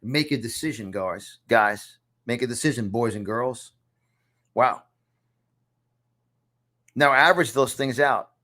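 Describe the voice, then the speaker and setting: A punchy motivational coach in his fifties, talking in a New York accent in a middle-pitched voice. He hammers his points home, repeating lines for emphasis. The sound is from a small home studio. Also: slow pace, long pauses, sharp tone. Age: 50s. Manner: emphatic, repeats phrases for effect. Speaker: success coach. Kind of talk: motivational lesson to camera.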